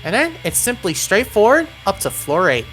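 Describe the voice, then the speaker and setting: Female game guide narrator, mid-pitched with animated delivery, encouraging and instructional, faint music underneath. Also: normal speed, rough recording. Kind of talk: instructional game walkthrough commentary.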